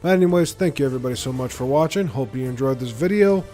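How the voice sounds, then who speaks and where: A man's voice: mid-range voice, animated, fast talker, mellow. A man hosting a gaming video with casual, chatty playthrough commentary. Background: faint game music.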